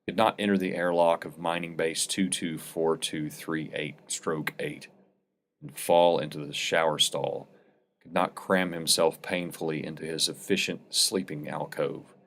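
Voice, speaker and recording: Guy reading a sci-fi story, really low voice, dramatic narration, bit of noise behind him. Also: a bit bright.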